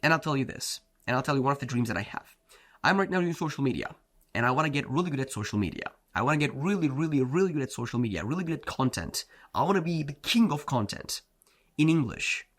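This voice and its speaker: A man's voice: mid-range voice, animated, fast. A man whose first language is Turkish, a self-improvement coach giving a blunt, slangy motivational talk, often saying "bro" and "like". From Turkey.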